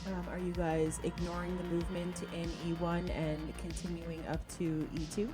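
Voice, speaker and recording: A woman's voice: on the low side, level tone, normal speed, music in the background. A woman casually refereeing a tabletop roleplaying game as game master.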